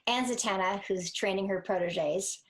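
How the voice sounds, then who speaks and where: A woman's voice: mid-range voice, moderate pace, lightly acted, poor audio. A woman playing a friendly, matter-of-fact young woman in an audio drama, speaking casually. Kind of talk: conversational audio drama acting.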